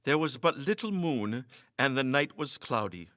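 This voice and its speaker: A man giving a theatrical, expressive dramatic reading of a classic ghost story. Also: medium pitch, unhurried, no echo, clean studio sound. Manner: theatrical, expressive delivery with emphatic dialogue. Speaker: story reader.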